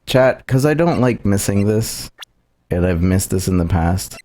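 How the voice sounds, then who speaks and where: A man's voice: fairly low pitch, lively intonation, unhurried, darkish tone. A man streaming a video game live, thinking aloud casually and a bit hesitantly to his viewers.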